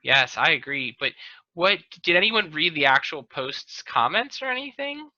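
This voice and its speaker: Bright, higher-pitched American man on video call. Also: big pitch swings, moderate pace, no echo. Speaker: podcast co-host and local chamber of commerce chairman. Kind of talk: casual group banter. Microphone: video call microphone. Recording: dull, band-limited video call audio.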